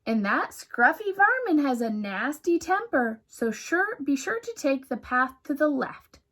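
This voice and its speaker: Woman as a firm, slow-speaking rabbit parent. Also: high voice, sing-song, fairly bright tone, pitched above the performer's own voice, full character voice. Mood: warning. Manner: instructing, emphatic. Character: rabbit parents, patient but firm, warning their son.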